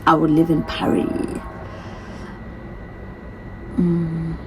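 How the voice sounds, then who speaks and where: A woman's voice: low voice, monotone, extremely slow, over music, low-quality recording. A woman in her thirties chatting casually through a get-to-know-me question list, often repeating her answers. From from a different continent. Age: 30s. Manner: chatty, repeats herself, reads questions aloud. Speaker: vlogger. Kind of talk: casual Q&A vlog. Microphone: phone.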